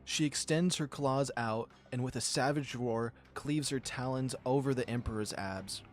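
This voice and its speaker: A man's voice: mid-range voice, moderate pace, faint music behind. A man reading a visual novel aloud as a channel host, in a storytelling style with casual commentary.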